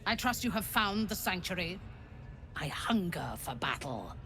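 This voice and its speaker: A cold elf commander in a fantasy game. Her delivery is imperious and menacing, slightly low in pitch with lively swings. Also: unhurried, slight background noise, exaggerated voice. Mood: cold, commanding. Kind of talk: video game character acting.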